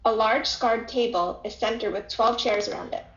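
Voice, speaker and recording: A woman's voice: a little high, steady tone, moderate pace. A woman reading stage directions as a scripted narration. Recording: echoey room, dull lo-fi audio.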